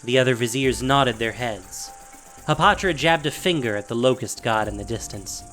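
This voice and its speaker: A man's voice: mid-range voice, moderate pace, a bit bright, soft background music. A man reading scripted dramatic fantasy narration as the storyteller of an audio drama.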